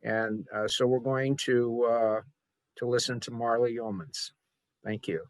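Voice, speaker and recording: A man introducing a literary reading informally, speaking reflectively with halting, deliberate pauses. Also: mid-range voice, fairly even intonation, no room sound, low-quality recording.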